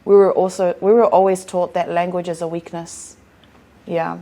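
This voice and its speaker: Host: a woman from New Zealand, lower pitch, encouraging, conversational. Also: level tone, normal speed, dark tone. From New Zealand, South Auckland. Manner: encouraging, frequent affirming murmurs. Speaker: interview host. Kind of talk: casual interview.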